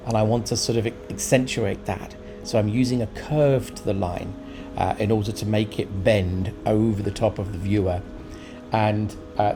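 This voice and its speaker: Male art vlogger, lowish voice, conversational and thoughtful tutorial delivery over prominent background music. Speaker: urban sketcher presenting a tutorial. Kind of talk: informal explanatory art vlog commentary.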